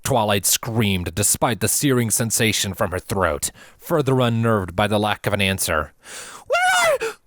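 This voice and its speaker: A man reading a scripted fantasy story as narrator, in a measured, dramatic and solemn way. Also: lowish voice, dry recording.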